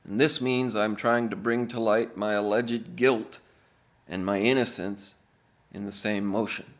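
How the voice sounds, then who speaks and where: A man reading aloud as an author, in a deadpan, measured manner with deliberate pauses. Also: on the low side. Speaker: author reading own book.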